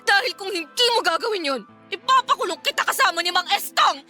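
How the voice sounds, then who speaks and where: A woman's voice: very high-pitched, animated, a little fast, sharp tone, soft background music, full character voice. A woman acting a hurt, outraged young girlfriend in a Filipino radio drama. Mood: outraged, disgusted. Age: young adult. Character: hurt, outraged young woman confronting her deceitful boyfriend.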